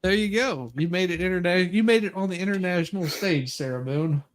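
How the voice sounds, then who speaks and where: A woman's voice: fairly low pitch, a little fast. A woman co-hosting a comedy podcast, talking casually and bluntly, joking as she comments and reads aloud. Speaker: podcast co-host.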